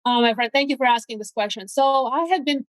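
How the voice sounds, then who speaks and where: A woman's voice: on the high side, fast talker, dry recording, rough recording. A woman from Latvia with a Latvian accent, speaking English as a second language, talking as an eager guest on a casual interview and repeating words as she searches for phrasing. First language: not English. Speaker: guest real estate agent.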